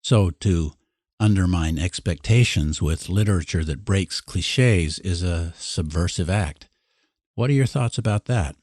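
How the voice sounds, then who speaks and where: A man hosting an interview podcast, putting prepared questions in an articulate, deliberate way. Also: low voice, darkish tone, dry recording, studio quality.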